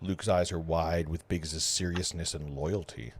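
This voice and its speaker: A man's voice: low-pitched, steady tone, measured pace. A man reading stage directions as narrator at an amateur table read of a film script. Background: music.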